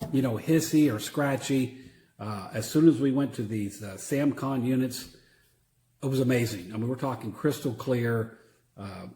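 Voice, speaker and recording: A man giving a casual, unscripted product demonstration in a halting, plain-spoken way. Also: medium pitch, darkish tone, slight background noise. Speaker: product reviewer; church security team member. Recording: roomy echo.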